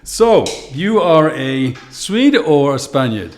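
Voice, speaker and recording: A man's voice: a little high, very animated, slow pace, a little hiss or hum. A man hosting a podcast, interviewing a guest in a conversational style. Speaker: podcast host. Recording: roomy, echoing voice recording.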